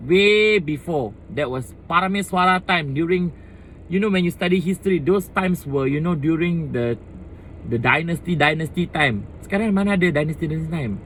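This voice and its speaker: A man's voice: medium pitch, a little hiss or hum, rough recording. Impassioned Malaysian man ranting outdoors. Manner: impassioned, switching between English and Malay. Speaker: vlogger. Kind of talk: casual opinion rant to camera.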